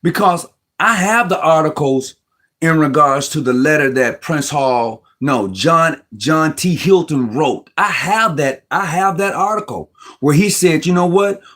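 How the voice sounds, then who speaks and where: A man's voice: fairly high pitch, expressive, measured pace, fairly bright tone. Emphatic man arguing a point. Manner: emphatic, argumentative, often restarts words. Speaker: host. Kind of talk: casual Masonic discussion.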